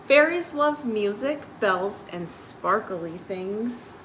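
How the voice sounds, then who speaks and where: A woman's voice: medium pitch, animated, takes it slowly, a bit bright, poor audio. A woman hosting a children's storytime on a live stream, reading picture books aloud with simple, explanatory asides for young listeners. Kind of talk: picture-book read-aloud with informal asides. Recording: faint background noise on live stream.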